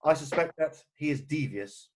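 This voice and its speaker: Man playing proper gentleman, slightly high voice. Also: animated, normal speed, natural voice. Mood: firm, formal. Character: proper, earnest gentleman guardian, stiffly indignant. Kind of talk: amateur play read-through.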